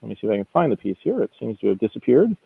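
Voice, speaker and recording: Man, auction executive giving a casual live preview; thin phone-like sound, very dry, keen but halting. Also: lowish voice, very animated, vocal fry, breathy edge, no room sound. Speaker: auction house executive presenting lots.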